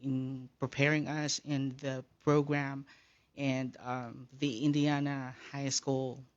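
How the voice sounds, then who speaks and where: A man from the Philippines, a high school English teacher, speaking as a radio guest with many pauses and fillers. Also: level tone, muffled recording.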